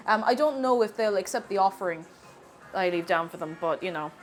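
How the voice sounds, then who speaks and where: A woman's voice: mid-range voice, fairly quick, soft background music. An Irish woman with a sniffly cold, chatting casually with viewers while cooking on a live stream. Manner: chatty and animated, thanks viewers warmly.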